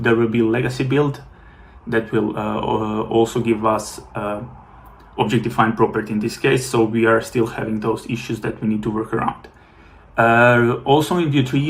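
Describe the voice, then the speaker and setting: A man wrapping up a technical talk at an online conference, pausing often with filler words. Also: mid-range voice. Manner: halting, many uh fillers. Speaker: conference speaker.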